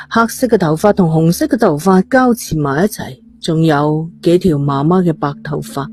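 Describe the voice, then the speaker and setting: A woman's voice: fairly low pitch, dark tone, husky, dry recording. A woman with a Cantonese accent reading a suspense novel as a scripted, dramatic storyteller. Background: faint music.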